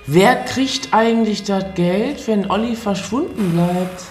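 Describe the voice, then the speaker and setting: A woman playing a blunt, excitable village woman who often exclaims, in a roomy space. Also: medium pitch, measured pace, faint music behind, lightly acted. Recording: roomy radio drama scene, faint ambience.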